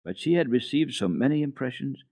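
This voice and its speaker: A man giving a dramatic reading of a children's book for a radio program, in a lively, expressive storytelling manner. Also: mid-range voice, fairly quick, no room sound.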